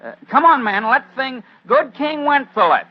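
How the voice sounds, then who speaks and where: A man's voice: extremely high pitch, very animated, normal speed, a crowd in the background. A man playing a sly gang leader posing as a caroler, in 1940s radio acting. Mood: falsely polite. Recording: muffled old radio recording.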